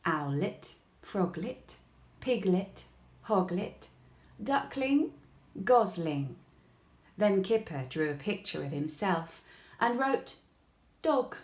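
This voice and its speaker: Slow, expressive woman reading a bedtime story. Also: mid-range voice. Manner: expressive, gentle storytelling with deliberate pauses. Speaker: storybook reader. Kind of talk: bedtime story narration for young children. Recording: echoey room.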